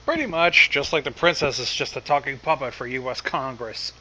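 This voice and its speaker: A man's voice: medium pitch, fairly quick, bright, forceful tone, rough recording. A man giving casual, wisecracking commentary alongside a story reader on a livestream.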